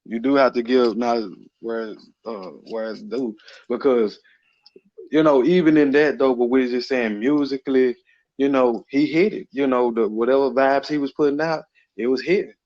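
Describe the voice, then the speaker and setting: A man's voice: mid-range voice, fairly even intonation, normal speed, a little mellow, dry recording. Male podcast talker, informal and slangy, circles back on phrases; close dry audio, a little dull. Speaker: podcast co-speaker. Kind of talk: casual podcast chat.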